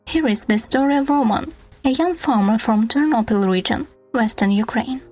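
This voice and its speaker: A woman's voice: on the high side, normal speed, music in the background. A woman delivering scripted documentary narration as a news podcast narrator.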